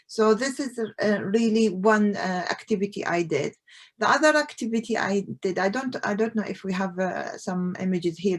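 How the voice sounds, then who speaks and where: A woman from Iraq whose first language is Arabic, giving a conference talk as an artist, pausing often with fillers. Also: medium pitch. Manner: hesitant, many fillers.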